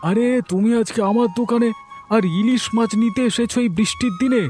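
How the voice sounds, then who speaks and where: A man's voice: very high voice, fairly even intonation, brisk, darkish tone, soft background music. A man voicing a cheerful, homely fish seller in a cartoon story, with a chatty, eager manner. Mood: eager, friendly. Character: cheerful young married fisherman selling hilsa at market. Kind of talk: Bengali cartoon story dialogue.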